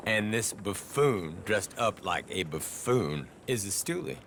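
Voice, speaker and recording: Low, slow, scornful skeptic. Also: male voice, very animated, fairly bright tone, slight background noise, exaggerated voice. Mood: contemptuous. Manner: blunt, contemptuous. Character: scornful, accusing male skeptic in old West. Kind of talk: video game cutscene acting.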